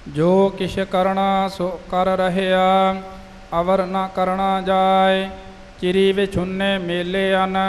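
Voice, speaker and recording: A man reciting scripture in a slow, measured, sing-song chant. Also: high-pitched, long reverb tail.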